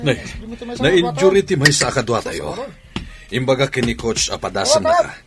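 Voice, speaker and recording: A man's voice: medium pitch, very animated, moderate pace, loud music behind the voice, natural voice. A man playing an authoritative, encouraging basketball mentor in an Ilocano radio drama. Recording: noisy background ambience.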